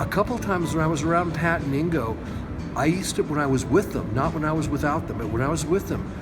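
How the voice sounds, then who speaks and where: Older male interviewee, slightly high voice, rambling anecdotal delivery with self-corrections, loud music behind. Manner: rambling, often rewording himself mid-sentence. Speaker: interview guest recounting memories. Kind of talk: casual anecdotal conversation.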